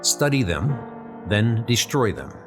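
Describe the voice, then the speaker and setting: A man's voice: fairly low pitch, very animated, takes it slowly. A man playing a composed senior British officer instructing spy recruits in a comic dub, formal and authoritative, with music behind. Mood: composed, instructive. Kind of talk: comic dub dramatic acting.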